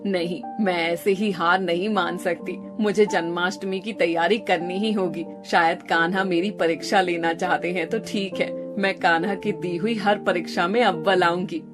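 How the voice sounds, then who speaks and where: A woman voicing a patient, devout poor village mother, gentle and earnest, in a moral story. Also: fairly low pitch, fairly even intonation, moderate pace, few pauses, music in the background, lightly acted. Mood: resolute.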